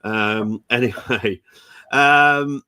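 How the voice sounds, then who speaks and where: A man's voice: medium pitch, extremely slow, bright, forceful tone, clear, resonant tone. A man hosting a casual live football podcast, bunged up with a cold and chatting in a blunt, opinionated, jokey grumbling way. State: congested with a cold. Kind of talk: casual live-stream chat.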